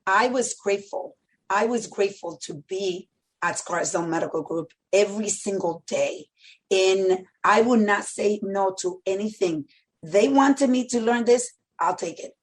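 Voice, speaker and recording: A middle-aged woman speaks in a mid-pitched voice with a Dominican Spanish accent. She tells personal stories as an interview guest, emphatically, often repeating a phrase to drive it home. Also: normal speed, poor audio. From Dominican Republic. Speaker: podcast guest, medical center executive.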